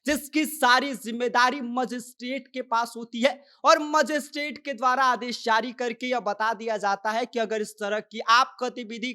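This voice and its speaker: A woman's voice: on the high side, sharp tone. A woman presenting a news explainer in a scripted reporting style.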